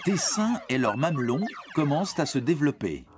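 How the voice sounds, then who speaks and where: A man's voice: on the high side, very animated, normal speed. A man narrates an educational cartoon script playfully, speaking directly to a young listener. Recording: prominent music behind narration. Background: music.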